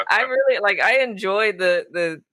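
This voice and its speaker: A woman's voice: mid-range voice, lively intonation, unhurried, bright, forceful tone. A woman hosting a casual, chatty interview show in an effusive, informal way. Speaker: talk show host.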